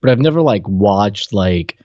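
Male co-host, animated mid-range voice, joking casual chat, dry and slightly lo-fi call recording. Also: on the low side, a little slow, dry recording. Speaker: podcast co-host. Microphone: online call. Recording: dull, slightly lo-fi call audio.

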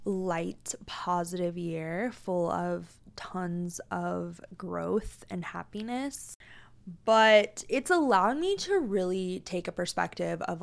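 A young woman in her twenties hosts her own podcast, talking frankly and casually, full of "like"s. Her voice is smooth and resonant, with a gravelly fry at the ends of phrases. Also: fairly low pitch. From Washington State, USA. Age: 20s. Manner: frank, chatty, heavy use of "like". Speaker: podcast host. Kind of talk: casual solo podcast chat.

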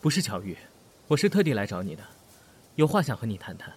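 A man's voice: fairly low pitch, sing-song, fast talker, darkish tone, breathy edge, exaggerated voice. A man playing a jealous, bitter young rival who needles and mocks in an audio drama. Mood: smooth, sardonic. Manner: mocking, insinuating, needling. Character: jealous, sardonic young male rival, smooth-mannered but bitter.

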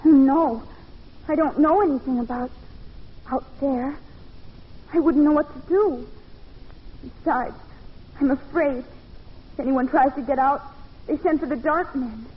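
An actress playing a timid, sheltered young maid held captive, naive and melodramatic in old-time radio style. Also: female voice, high voice, unhurried, long pauses. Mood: fearful, forlorn. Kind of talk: 1940s radio acting. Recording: muffled, fuzzy old-time radio recording.

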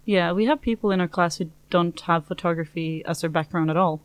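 A young woman from Norway, a student whose first language is Norwegian, answering interview questions in a casual, relaxed way. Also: fairly low pitch, fairly quick, clear, resonant tone. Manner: casual, relaxed, laughs easily. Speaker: master's student.

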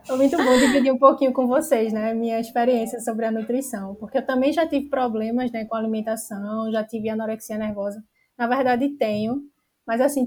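High-voiced Brazilian woman podcast guest. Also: darkish tone. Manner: peppers speech with tag questions seeking agreement. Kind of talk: casual conversational explaining.

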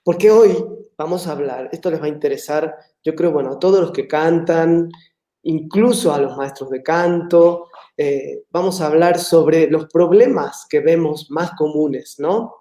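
A man's voice: on the high side, measured pace, dark tone. Man hosting a live chat in an echoey room. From Latin America, living in Mexico. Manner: friendly, reads out viewer greetings. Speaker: host of a live online talk. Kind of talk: casual live-stream chat.

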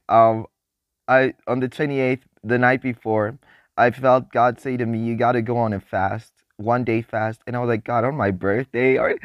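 A man's voice: dry recording, highs cut off. A seventeen-year-old young man, chatting in a faith vlog, happy and rambling with frequent restarts and laughs. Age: teens. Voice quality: voice newly deepened. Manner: excited, happy.

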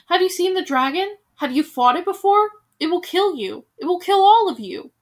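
A woman's voice: high voice, normal speed. Woman voicing a scornful bald brute of a man. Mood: scornful shouting. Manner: shouting, scoffing. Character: tall bald muscular man, scornful and angry.

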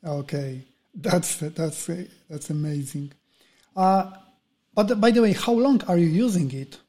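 A man hosting a podcast, greeting his guest and asking questions in a deliberate, welcoming way. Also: on the high side, dark tone. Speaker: podcast host.

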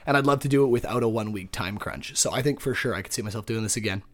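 A young man co-hosting a casual podcast, excitable and laughing often. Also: on the low side, very fast. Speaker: podcast co-host.

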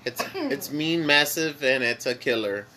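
A man whose first language is Spanish, speaking with a Mexican accent as a podcast co-host, rambling in a relaxed way with many fillers while high. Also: a little slow, slight background noise. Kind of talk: casual chat.

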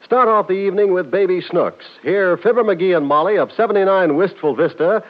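A man's voice: high-pitched, brisk. A man delivering a scripted 1950s radio network promo as an announcer. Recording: dull lo-fi 1950 radio recording.

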